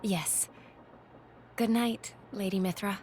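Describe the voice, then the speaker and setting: A woman's voice: medium pitch, takes it slowly, darkish tone, slight background noise, close to an everyday voice. A woman voicing a serene, courteous envoy with a formal, composed manner in a video game cutscene. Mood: gentle, apologetic. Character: serene, courteous female envoy of a high leader.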